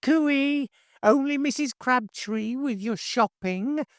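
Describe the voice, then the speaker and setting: A man voicing a nosy, bustling older English housekeeper in a high, reedy, loud voice for an audiobook. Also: slow, dry recording, studio quality, full character voice. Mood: chirpy, chatty. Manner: shrill, gossipy, indignant chatter. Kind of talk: audiobook character voice.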